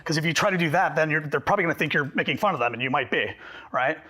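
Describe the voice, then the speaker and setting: A man presenting a workshop to a group, talking fast and animated, slightly hoarse from losing his voice. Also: on the high side. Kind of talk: live workshop talk to a group.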